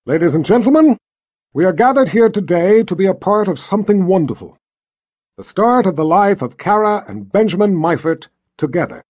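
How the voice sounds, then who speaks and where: A man's voice: high voice, lively intonation, normal speed, a little mellow, voice pushed up. A man voicing a proud, solemn lord in an audiobook, speaking in a ceremonial, emphatic, oratorical way as he officiates a wedding. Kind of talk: audiobook character acting.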